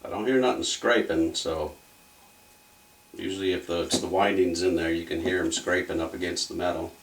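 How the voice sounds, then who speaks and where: A man's voice: deep voice, fairly even intonation, moderate pace. A man narrating a repair casually and off the cuff, thinking aloud in a folksy, rambling way. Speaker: electronics repair hobbyist demonstrating a fix. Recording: distant mic with faint background noise.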